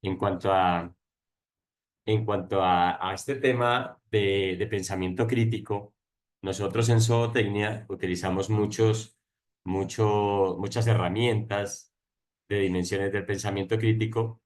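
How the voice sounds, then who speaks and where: A man's voice: on the low side, steady tone, unhurried, a little mellow. A man teaching at a university, talking hesitantly and repeating words during an online class discussion.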